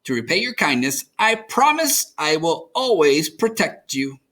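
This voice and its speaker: A proud young male lion from a children's storybook, acted out by a man. He speaks emphatically, with a bright, forceful tone and pitch that swings widely. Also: sing-song, unhurried, heavily acted. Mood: grateful. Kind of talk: children's storybook read-aloud.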